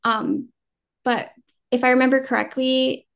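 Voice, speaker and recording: Chatty American woman, slightly high. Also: unhurried, creaky. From New Jersey, US. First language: Vietnamese. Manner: relaxed, rambling, many "um" and "like", laughs easily. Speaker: guest actor. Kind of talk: casual interview chat.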